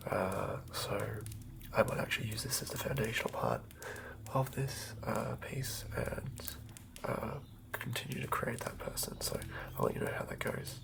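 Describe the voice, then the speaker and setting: A man giving a whispered, improvised ASMR narration as a game master, close to the mic, murmuring in a halting way as he thinks aloud. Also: lowish voice, gravelly, low-quality recording.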